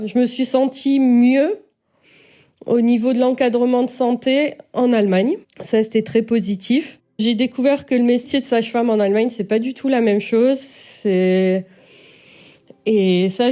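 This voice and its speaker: Casting: woman, late 30s, from France, mellow, candid podcast guest, halting delivery. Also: fairly high pitch. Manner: hesitant, with many fillers and restarts. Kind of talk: personal testimony.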